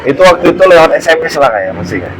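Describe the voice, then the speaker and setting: A man hosting a relaxed interview, asking short questions and encouraging his guest with brief interjections. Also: fairly high pitch, fast talker, background noise. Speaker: host. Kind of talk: casual interview chat.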